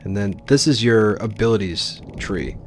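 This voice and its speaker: Low-voiced man casually commentating a game. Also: moderate pace, a little mellow, a little hiss or hum. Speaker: let's play host. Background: game music and sound.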